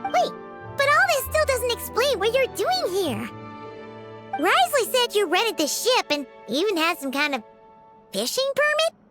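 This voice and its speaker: A woman's voice: very high voice, unhurried, over music, exaggerated voice. A woman voicing a tiny, eager, girlish companion creature in a video game cutscene who calls itself "we". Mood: astonished. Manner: eager, excitable, speaks of itself as "we".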